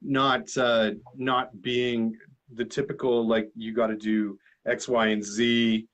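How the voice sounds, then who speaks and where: A man's voice: medium pitch, level tone, slow pace, fairly bright tone, dull audio. A man in his forties hosting a casual chat, frank and joking, swearing now and then. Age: 40s. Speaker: host. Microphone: video call.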